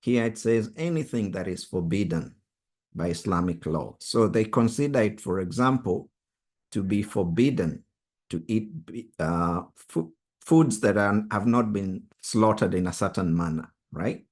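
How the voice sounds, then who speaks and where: A Swahili-speaking man leads a study group over a video call, his voice sitting fairly low. He talks very slowly and deliberately, with an earnest, emphatic tone as he teaches. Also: animated, darkish tone. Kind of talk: online Bible study teaching.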